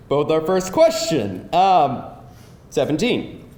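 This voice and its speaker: A man plays a cocky, playful man in a live script read, bossing and teasing like a mock attorney. His pitch is high and swings widely, at an unhurried pace. The room is echoey. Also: sing-song, a little mellow, slight background noise, full character voice. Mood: cocky, matter-of-fact. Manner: bossy, teasing game-show delivery. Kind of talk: live screenplay table read. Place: indoor room before a live audience.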